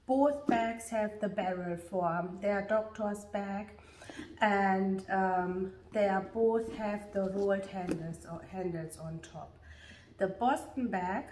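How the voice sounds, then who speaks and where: A woman vlogger with a husky voice, chatting casually and hesitantly with many ums as she compares two handbags. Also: mid-range voice, darkish tone, a little hiss or hum. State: husky from a lingering illness. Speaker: beauty vlogger. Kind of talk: casual bag comparison vlog. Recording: roomy sound from a distance.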